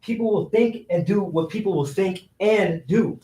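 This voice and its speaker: Cocky, high-voiced young hustler, played by a man. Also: normal speed, warm tone, close to an everyday voice. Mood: confident, impatient. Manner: slangy, breezy. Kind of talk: staged reading of a play. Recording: echoey, distant, faint noise.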